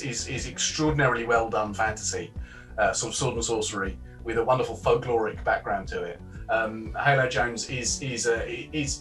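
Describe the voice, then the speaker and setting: A man's voice: mid-range voice, sharp tone, distant mic. A man giving enthusiastic commentary in an interview.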